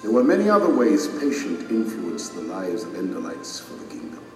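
Casting: stern royal judge, low expressive voice, solemn and commanding, audio-drama fantasy, vast hall reverb. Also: male voice. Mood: solemn, measured. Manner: solemn, measured, authoritative. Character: majestic stern male king judging his subjects. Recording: cavernous reverberant hall, distant, music underneath.

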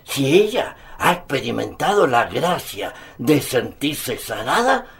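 A devout old grandfather in a radio drama. His voice is gravelly and slow, with wide swings of intonation. He tells things earnestly and patiently, often easing in with a small hesitation. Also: medium pitch, very animated, a bit bright, full character voice. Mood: calm, reassuring. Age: older. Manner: earnest, hesitant openings, measured storytelling. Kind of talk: Spanish-language radio drama acting.

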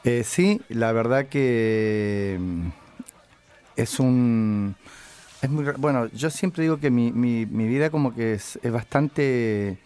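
An older man from Chile, a musician answering interview questions casually, halting and reflective as he searches for words. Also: medium pitch, darkish tone, clear, resonant tone.

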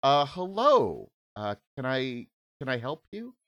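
A man's voice: mid-range voice, big pitch swings, slow, fairly bright tone, full character voice. A man doing a mousy, stammering woman. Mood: wary. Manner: hesitant, stammering, apologetic. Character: mousy, nervous woman in her late thirties.

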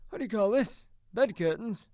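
A man's voice: high voice, expressive, moderate pace, mellow, no echo, clean studio sound, pitched above the performer's own voice. A man voicing a sly old rag-and-bone dealer who haggles in a wheedling tone. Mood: prying.

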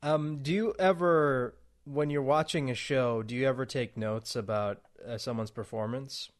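A man's voice: mid-range voice, fairly even intonation, normal speed. Male interviewer telling stories. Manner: storytelling, laughs while talking. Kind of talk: casual interview chat.

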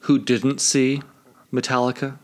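A man's voice: mid-range voice, level tone, a little slow, darkish tone, slight background noise. A man co-hosting a podcast, telling stories with jokes and plenty of swearing in casual chat. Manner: joking, profane storyteller. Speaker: podcast co-host. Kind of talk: casual chat among friends.

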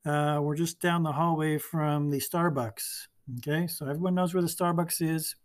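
A man who is a librarian hosting a school podcast, friendly and asking questions with many pauses and fillers. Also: fairly high pitch, fairly even intonation.

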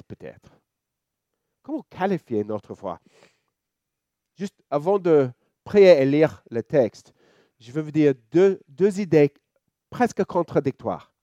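Slow, mellow Australian man preaching. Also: medium pitch, dry recording. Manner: relaxed, joking, halting with many fillers and restarts. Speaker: church elder preaching. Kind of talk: sermon.

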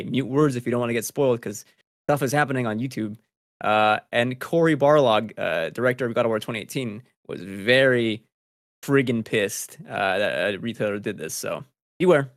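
A man's voice: mid-range voice, dry recording. Casual male gaming podcast host, dry sound. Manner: informal, slangy, conversational. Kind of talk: casual news chat among co-hosts.

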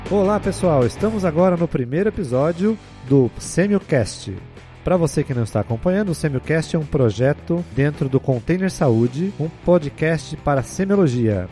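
Animated Brazilian man hosting over music. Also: on the high side, moderate pace. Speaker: podcast host and nursing professor. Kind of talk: podcast hosting and scripted story narration.

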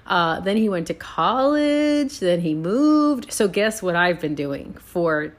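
A woman in her fifties from San Antonio, Texas, hosting her own podcast in a chatty, animated way, often tagging her sentences with "okay?". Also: fairly low pitch, slow pace. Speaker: podcast host. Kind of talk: casual solo podcast chat.

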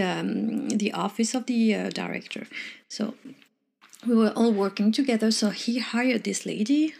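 A woman's voice: medium pitch, creaky, breathy edge. A woman, an English speaker from Morocco, chatting through a personal story with many restarts. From Morocco, raised French. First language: French. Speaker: vlogger.

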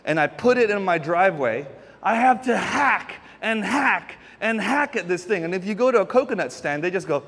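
A man preaching, his voice sitting notably high. He talks to the congregation in a loose, chatty way, full of everyday stories and stumbling restarts. The sound carries a long reverb, as in a large church hall. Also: expressive, normal speed, gravelly, fairly bright tone. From Philippines. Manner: conversational preaching with homely stories and frequent restarts. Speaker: pastor. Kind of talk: sermon.

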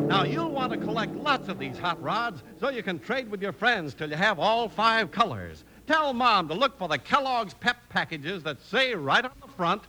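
A man announcing and narrating in an emphatic, enthusiastic mid-century radio style. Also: pushed and bright. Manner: emphatic, enthusiastic pitchman delivery. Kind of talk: 1950s radio announcing, scripted. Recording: faintly hissy old radio recording.

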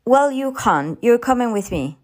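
Woman doing an anxious young mum in a storybook, tense, emotional, voice swooping up high. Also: big pitch swings, normal speed, a little mellow. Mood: firm. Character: anxious, fretful young mother, nerves fraying.

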